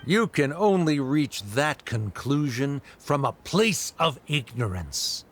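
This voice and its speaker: An actor playing a pompous, condescending academy scholar in a formal game debate, lecturing in measured phrases. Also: male voice, mid-range voice, big pitch swings, fairly bright tone, full character voice. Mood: haughty.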